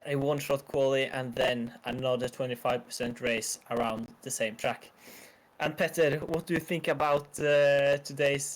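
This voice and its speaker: A man's voice: fairly high pitch, low-quality recording. Hesitant Norwegian man commentating. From Norway. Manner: hesitant, many fillers. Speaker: sim racing commentator. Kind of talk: amateur esports commentary.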